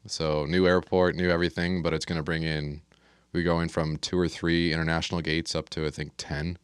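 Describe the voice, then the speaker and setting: An American man talks as a podcast guest, explaining things plainly and casually. His voice is extremely deep and smooth, recorded very dry. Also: little pitch movement, a little fast, dry recording. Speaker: interview guest, air traffic control specialist. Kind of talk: casual interview conversation.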